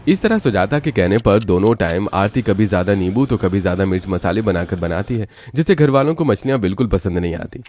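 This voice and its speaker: Low-voiced man narrating a cartoon story. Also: lively intonation, a little fast, few pauses, darkish tone, dry recording.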